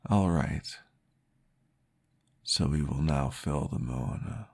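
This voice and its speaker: A man voicing a calm, patient male vampire therapist, soft-spoken and reassuring, often dropping into a whisper in an ASMR roleplay. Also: a bass voice, slow, full character voice. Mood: soothing whisper. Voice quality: gravelly, husky. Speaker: therapist guiding a listener.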